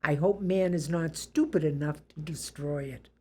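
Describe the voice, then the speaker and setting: An elderly woman with a Boston accent, reflecting on her life in an interview in a deliberate, plain-spoken, good-humored way. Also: low voice, a little mellow, slightly rough. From Boston area, USA.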